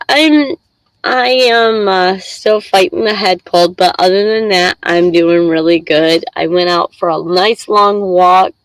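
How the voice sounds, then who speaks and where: Congested woman chatting slowly on a live stream. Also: mid-range voice, clean tone, dry recording, low-quality recording. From Michigan. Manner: chatty, rambling, reads chat aloud. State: congested with a head cold. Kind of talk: casual live stream chat.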